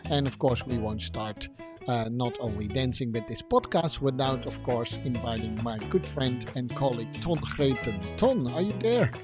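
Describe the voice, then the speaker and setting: A man's voice: medium pitch, animated, normal speed, over music. A man who speaks Dutch as his first language, hosting a podcast in a casual, conversational way. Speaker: podcast host.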